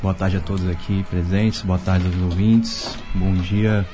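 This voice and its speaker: Young male guest singer, very deep, formal and polite, clear music under him. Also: flat delivery. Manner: formal, polite greetings, slow.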